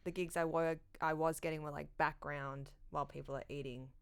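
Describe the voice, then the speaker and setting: An Australian woman in her thirties with a smooth, resonant, slightly low voice chats as a podcast guest. She pokes fun at herself and talks easily, close to a studio mic. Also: level tone, a little fast. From Sydney, Australia. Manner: self-deprecating, laughs at own jokes. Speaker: comedian guest. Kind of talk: casual interview chat. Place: dark studio set, seated in armchair. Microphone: studio condenser mic on stand.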